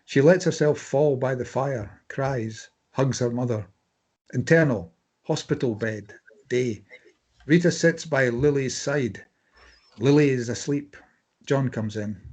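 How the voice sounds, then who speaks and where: A man's voice: mid-range voice, takes it slowly, a little mellow. A man reading stage directions as scripted narration for a drama table read.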